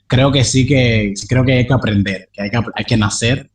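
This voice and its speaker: A man's voice: medium pitch, moderate pace, recorded from a distance. A young man talking casually as an interview guest, rambling with many fillers and restarts. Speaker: polyglot interviewee.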